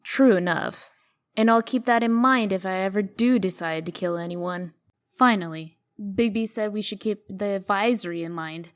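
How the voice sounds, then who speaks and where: A woman playing a brisk, exasperated fairy-tale princess turned official in a comic dub, curt and guarded. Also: mid-range voice, natural voice. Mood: firm, defiant. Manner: curt, guarded, sharp-tongued.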